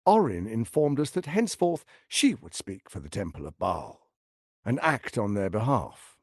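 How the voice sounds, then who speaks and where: An actor playing a suave, ambitious, scheming lord in a video game, speaking in a theatrical, articulate and condescending way. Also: male voice, lowish voice, very animated, moderate pace, a little mellow, slightly rough, no echo, studio quality, exaggerated voice. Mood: cold, condescending. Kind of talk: dramatic video game voice acting.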